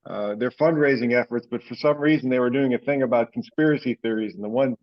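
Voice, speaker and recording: Guy running a casual online meeting, kinda rambly, average pitch, muffled lo-fi call sound. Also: quick pace. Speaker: meeting host. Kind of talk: casual conference-call talk. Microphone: teleconference call. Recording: dull lo-fi conference call audio.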